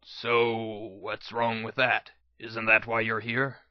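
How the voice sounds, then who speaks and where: A man's voice: fairly low pitch, monotone, slow pace, no room sound. A cocky, naive pony mare from a fantasy storybook, played by a man, speaks casually and brashly. The recording is dry and a little muffled. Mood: curious.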